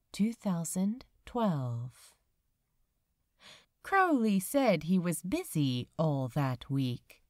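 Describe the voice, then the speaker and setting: A woman reading fan fiction aloud as a narrator, with measured, expressive storytelling delivery. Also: fairly low pitch, dry recording, clean studio sound. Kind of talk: scripted audiobook-style narration.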